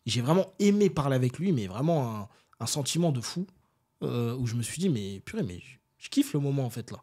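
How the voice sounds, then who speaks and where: A man's voice: medium pitch, sing-song, fairly quick, mellow. Casual, slangy man telling stories. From France. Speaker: interview guest. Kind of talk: casual conversational storytelling.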